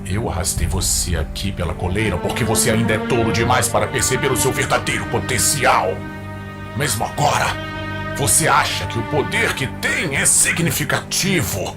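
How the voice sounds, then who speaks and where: A man playing a menacing, contemptuous demon lord in a fantasy audio drama, speaking in a slow, imperious manner. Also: mid-range voice, lively intonation, natural voice. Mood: scornful. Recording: loud dramatic music behind.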